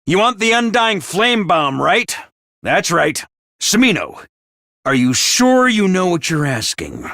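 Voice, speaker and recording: A squeaky, scheming mascot creature. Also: male voice, wildly swooping pitch, takes it slowly, pushed and bright, no echo, heavily acted. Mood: sly. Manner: cheery announcer voice turning snide and callous. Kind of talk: video game voice lines. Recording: dry studio recording.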